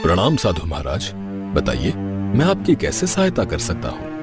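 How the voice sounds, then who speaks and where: A man playing a noble, fair king in a cartoon moral tale, speaking with formal, measured authority. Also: sits low, wildly swooping pitch, over loud music, exaggerated voice. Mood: calm, gracious. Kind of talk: Hindi moral cartoon story acting.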